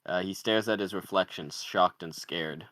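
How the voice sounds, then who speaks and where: A man's voice: low voice, normal speed, a bit bright, no echo. A man narrating, reading out the stage directions of a screenplay.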